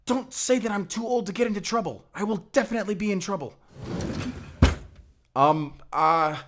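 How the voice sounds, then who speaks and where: A man's voice: high-pitched, normal speed, noisy background, natural voice. A man playing a young, sheltered and earnest vampire slayer, in a cinematic audio roleplay, speaking in an intimate, conversational way. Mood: flustered.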